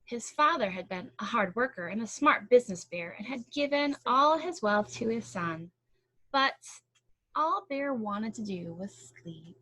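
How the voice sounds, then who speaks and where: Woman reading a storybook aloud, higher voice. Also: a little slow, dry recording, poor audio. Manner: expressive, explains and asks the listener questions. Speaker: storybook reader. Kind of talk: picture book read-aloud with casual asides.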